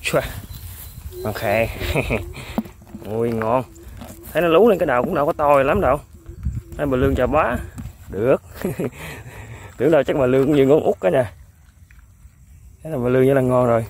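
A man, excitedly commenting to viewers while fishing on a riverbank. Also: medium pitch, moderate pace, pauses often, a little hiss or hum. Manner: excitable, exclaims often, chuckles. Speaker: fishing vlogger. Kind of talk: casual outdoor commentary to viewers. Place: outdoors by a riverbank.

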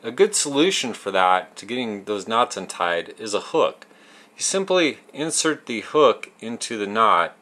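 A man's voice: fairly low pitch, a bit bright. A man in his thirties narrating a how-to as show host, explaining step by step in plain, folksy words. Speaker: host of a fishing tips show.

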